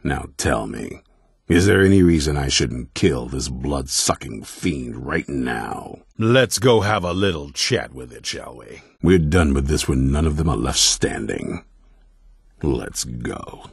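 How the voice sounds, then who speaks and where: An actor playing a grim, distrustful, battle-hardened vampire hunter commander in a video game, with a gruff, blunt, stern delivery and a gravelly, husky voice. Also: male voice, a bass voice, expressive, slow pace, dry recording, studio quality, exaggerated voice. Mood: curt, commanding. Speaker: leader of an order of vampire hunters. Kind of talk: video game character dialogue.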